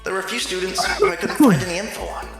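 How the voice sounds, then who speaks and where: A man playing an earnest, awed high school boy narrating a visual novel fan dub. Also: wildly swooping pitch, rapid-fire. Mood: awed, uneasy. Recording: very echoey, long reverb, music behind.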